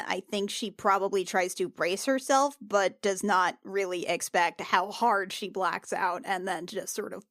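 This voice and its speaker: A woman player chats casually about her character at a tabletop game, wandering through her thoughts with lots of "like" and "probably." Her voice sits at a middle pitch. It is recorded dry and close, with no room sound. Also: no room sound.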